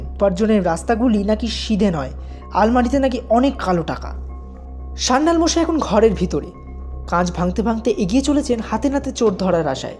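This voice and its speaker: A man with a soft, mellow tone narrates a dramatic audio story. He tells it expressively and builds suspense, with music playing underneath. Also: high-pitched. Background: background music.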